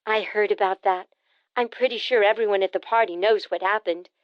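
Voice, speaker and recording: A woman narrator voicing a brisk, teasing adult sister in an audiobook, pushy and quick to needle. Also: mid-range voice, steady tone, no room sound, muffled recording, natural voice. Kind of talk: audiobook character voice.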